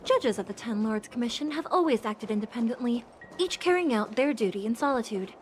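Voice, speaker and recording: A woman's voice: on the high side, animated, brisk, a little hiss or hum, natural voice. A woman voicing a solemn female judge in a fantasy video game, with a formal, grave manner.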